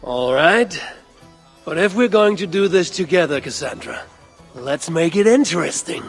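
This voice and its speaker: An actor playing a blunt, hot-headed male Viking warrior in a video game cutscene, husky and dryly terse. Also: a little high, expressive, moderate pace, soft background music, heavily acted. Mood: dry, challenging.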